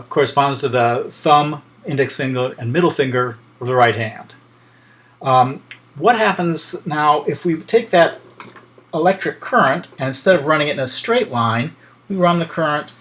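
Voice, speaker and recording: A man's voice: on the high side, fairly bright tone. A man lecturing in an informal, explanatory way, thinking aloud with frequent hesitations.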